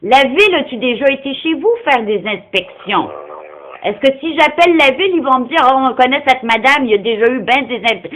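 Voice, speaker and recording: A woman with a fairly high voice speaks Quebec French as a non-native speaker. She is confrontational and insistent in a phone argument, pressing her points and interrupting. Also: expressive, a little fast, a bit bright, rough recording. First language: not French, non-francophone. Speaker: caller confronting someone over animal welfare.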